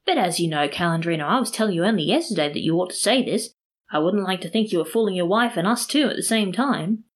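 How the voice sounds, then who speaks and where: A woman's voice: on the low side, expressive, fast. A woman voicing a sly male schemer in a storybook, teasing and mock-sincere in manner.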